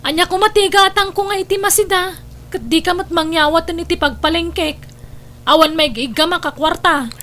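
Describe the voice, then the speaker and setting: Woman playing a high-pitched nagging Ilocano wife. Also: normal speed, fairly bright tone. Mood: reproachful. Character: nagging, defiant wife in a poor household. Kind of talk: Ilocano radio-style family drama acting.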